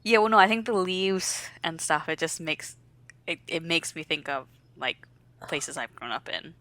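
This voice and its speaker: A young adult woman co-hosting a podcast with her mother, talking in quick, animated, laughing banter. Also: low voice, no echo. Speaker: podcast co-host. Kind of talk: casual chat.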